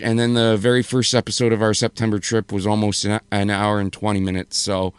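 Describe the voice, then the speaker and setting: A man with a hoarse voice co-hosting a casual podcast, rambling and correcting himself as he goes. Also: medium pitch, no echo. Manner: rambling, self-correcting, apologetic. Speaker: podcast co-host.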